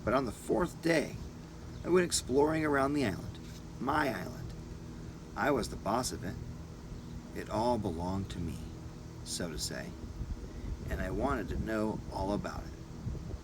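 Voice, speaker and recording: A man narrating a first-person adventure story as an audiobook reader, in short deliberate phrases. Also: lowish voice, expressive, noisy background.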